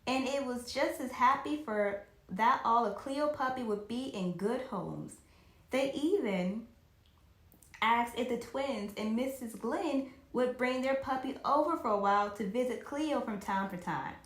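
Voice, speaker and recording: A woman reading a children's story aloud in an expressive, animated way, occasionally stumbling and rereading a phrase. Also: a little high, measured pace, roomy sound.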